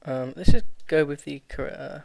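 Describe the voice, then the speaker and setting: A man's voice: fairly high pitch, normal speed, creaky, a little mellow, no room sound, rough recording. A man hosting a let's play, reading a visual novel aloud with stumbles, self-corrections and laughs.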